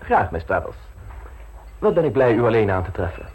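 An actor playing a suave, ambitious gentleman politician in an old radio play, polished, self-assured and teasingly frank. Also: on the low side, sing-song, fairly quick, lo-fi sound, slight background noise. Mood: teasing, ardent.

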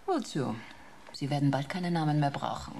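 A woman's voice: low voice, lively intonation, moderate pace, mellow. An actress playing a menacing female crime boss in a German radio drama, sneering with mock politeness.

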